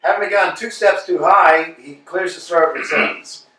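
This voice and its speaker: A man reading stage directions aloud for a staged play reading, brisk and matter-of-fact, recorded in an echoey room from a distance. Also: high-pitched, sharp tone. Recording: echoey room, mic far off.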